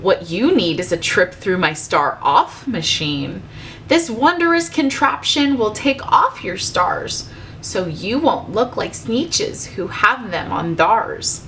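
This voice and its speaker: A woman's voice: mid-range voice, sing-song, slow pace, fairly bright tone, a little hiss or hum, exaggerated voice. A woman voicing a sly male storybook salesman with keen, persuasive patter. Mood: selling. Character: sly smooth-talking male travelling salesman and swindler.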